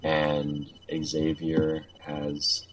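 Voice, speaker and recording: Very deep young man, noisy mic. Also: completely flat delivery, drawn-out words. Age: young adult. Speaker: streamer introducing scripts. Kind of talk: casual group chat.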